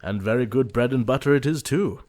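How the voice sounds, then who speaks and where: A man acting an earnest, easily exasperated young English gentleman in an audio play of a period comedy, formal and emphatic. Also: mid-range voice, a little fast, lightly acted. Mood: dry.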